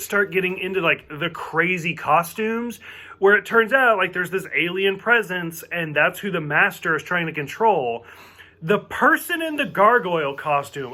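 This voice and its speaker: A man's voice: high voice, bright, forceful tone. A man from the southern United States with a mostly hidden Southern accent, chatting casually through a TV review and rambling with lots of fillers. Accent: light Southern US, mostly covered.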